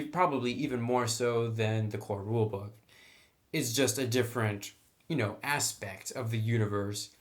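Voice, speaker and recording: A man talks through a book review in a loose, informal style. His voice sits at an average pitch, and he explains each point conversationally, as unscripted commentary.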